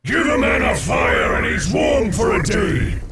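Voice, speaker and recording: A booming fire elemental lord, shouting slowly over loud noisy ambience. He is menacing and theatrical, and his delivery swoops wildly as he proclaims. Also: huge pitch swings, heavily acted. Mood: menacing, wry.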